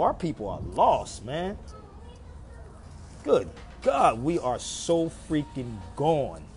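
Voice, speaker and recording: A man's voice: on the high side, a little mellow. Emphatic man preaching on a livestream. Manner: preachy, emphatic, repeats phrases. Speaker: live stream host. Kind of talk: livestream monologue to viewers.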